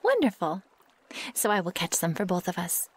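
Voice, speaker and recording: A woman playing a naive, impatient young werewolf in an ASMR roleplay, speaking softly and breathily in stilted, formal phrasing. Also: lowish voice, huge pitch swings, normal speed, exaggerated voice. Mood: earnest, curious. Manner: stilted formal phrasing without contractions, often breathy or near-whispered. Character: feral young female werewolf, naive, curious, impatient, possessive. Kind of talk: ASMR roleplay, intimate one-sided dialogue.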